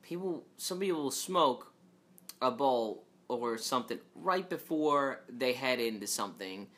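A man hosting a casual solo podcast, rambling in a low, fairly monotone voice with many restarts and fillers, and joking asides to his listeners. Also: unhurried. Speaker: podcast host.

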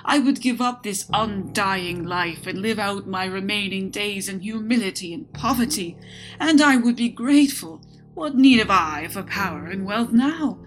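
A woman's voice: medium pitch, lively intonation, unhurried, fairly bright tone, pitched above the performer's own voice, low-quality recording. A woman voicing a remorseful immortal male lord who pleads through sobs, with music underneath. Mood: pleading. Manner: sobbing, pleading.

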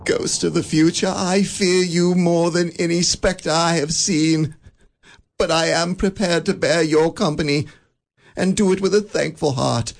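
An actor playing a frightened old miser who pleads with the ghosts in halting, anxious speech in a radio play. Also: male voice, on the high side, steady tone, creaky. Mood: fearful. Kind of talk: 1940s-style radio drama acting.

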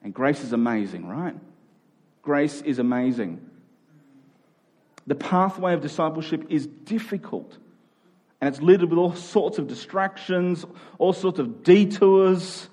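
A man's voice: on the high side, a little mellow. A man speaking as a pastor, giving an earnest sermon to a congregation in a conversational, informal way.

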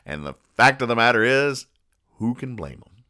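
A man hosting a podcast, describing comic strips in a halting, deadpan, mock-exasperated way. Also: medium pitch. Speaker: podcast host. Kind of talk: comic commentary reading newspaper comics aloud.

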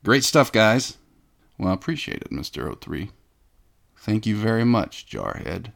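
A man's voice: lowish voice, big pitch swings, a little slow, creaky, a bit bright. A man hosting a comedic podcast, reading fan mail in a pompous, self-important, mock-indignant way. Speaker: podcast host. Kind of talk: comedic scripted podcast banter.

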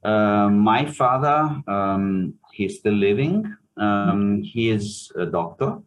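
Italian pianist in interview, deep voice, slow and searching, heavy fillers. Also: male voice, expressive. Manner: hesitant, many fillers and restarts. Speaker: pianist and music professor, interview guest. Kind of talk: reflective interview answers.

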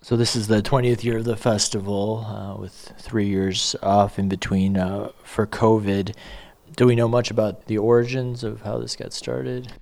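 A man's voice: lowish voice, flat delivery, normal speed, vocal fry, a little mellow, dry recording. A man with an American accent hosting an arts radio show, moving between scripted introductions and conversational interview questions.

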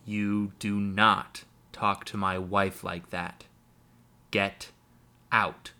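A man voicing a deep-voiced farmer who speaks slowly and firmly. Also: flat delivery, sharp tone, clean tone, exaggerated voice. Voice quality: slow, deep, controlled.

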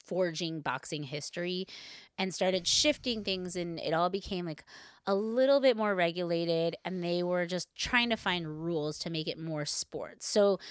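A woman's voice: fairly low pitch, clear, resonant tone, no room sound, studio quality. A woman co-hosting a podcast, telling a story in casual, informal talk with lots of "like" and playful asides. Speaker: podcast co-host.

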